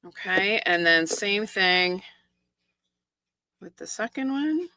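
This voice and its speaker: Hoarse chatty woman teaching card-making. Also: medium pitch, expressive, slow pace, sharp tone, dry recording. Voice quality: croaky. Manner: chatty, explains each step with asides and small laughs. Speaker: stamping and card-making demonstrator. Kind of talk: casual live craft tutorial.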